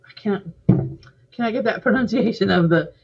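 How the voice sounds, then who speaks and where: A woman with a husky voice co-hosts a reaction show in casual talk, working out her thoughts aloud. The sound is slightly dull. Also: mid-range voice, normal speed.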